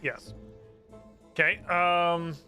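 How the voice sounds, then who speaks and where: A man's voice: fairly high pitch, drawn-out words, sharp tone, faint music behind. A man streaming a game, chatting casually, muttering to himself and swearing freely.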